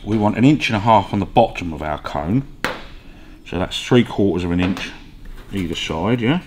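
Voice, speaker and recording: A middle-aged man with a very low voice talks through a workshop job. He is casual and offhand, tacking little questions onto his sentences. Clear background noise fills the garage around him. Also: animated, moderate pace, a little mellow. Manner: offhand, often ends on tag questions. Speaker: workshop mechanic demonstrating metal fabrication. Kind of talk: casual hands-on tutorial. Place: large garage workshop, indoors.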